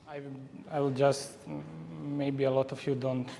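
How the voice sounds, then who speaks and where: A man with a Croatian accent giving a semi-formal conference presentation, haltingly and often repeating words. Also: medium pitch, little pitch movement, vocal fry. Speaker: conference speaker, forestry professional. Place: large echoey indoor hall. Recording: reverberant hall, faint background noise.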